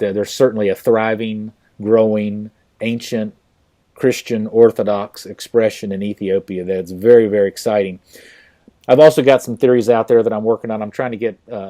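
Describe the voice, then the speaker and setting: Male podcast host, lower register, halting casual delivery full of fillers. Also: warm tone. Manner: halting, many fillers and restarts. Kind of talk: casual interview talk.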